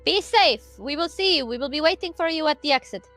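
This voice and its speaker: A woman's voice: high voice, lively intonation, fairly quick, a bit bright, faint music behind. A woman sitting in as a guest player in a tabletop roleplay game, talking casually.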